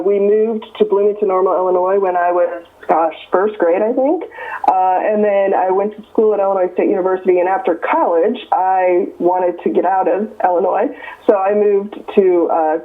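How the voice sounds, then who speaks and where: A woman's voice: lowish voice, fairly even intonation, a little fast. A Midwestern American woman in her fifties, an author being interviewed, talking earnestly with many ums and restarts. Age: 50s. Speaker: author guest. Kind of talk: casual interview over the phone. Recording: tinny phone line.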